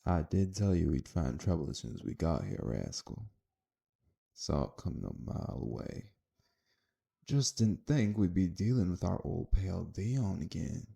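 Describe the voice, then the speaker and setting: Man acting cocky gunslinger, deep dark gravelly voice. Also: expressive, unhurried, full character voice. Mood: cocky threat. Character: cocky, flirtatious, protective male gunslinger. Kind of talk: audio roleplay drama.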